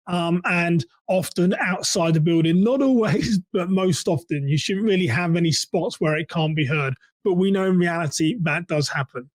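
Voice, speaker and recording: Higher-voiced man explaining on a podcast. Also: no echo. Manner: conversational, poses questions to the listener. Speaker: podcast host and church security practitioner. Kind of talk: explanatory solo podcast talk.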